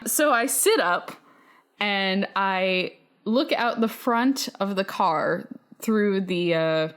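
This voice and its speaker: A woman's voice: medium pitch, slow, clean tone. Droll, informal woman telling stories on a podcast. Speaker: podcast co-host. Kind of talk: casual chat and personal storytelling.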